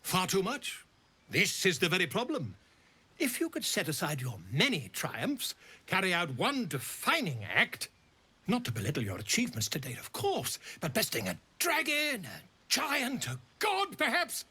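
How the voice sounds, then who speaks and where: A man's voice: sits high, wildly swooping pitch, normal speed, bright, forceful tone, slightly rough, exaggerated voice. A man voicing a pompous, theatrical bard and scholar in a fantasy game, with florid, verbose delivery. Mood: jovial, grandiose. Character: flamboyant, pompous bard and self-styled scholar, grandly theatrical.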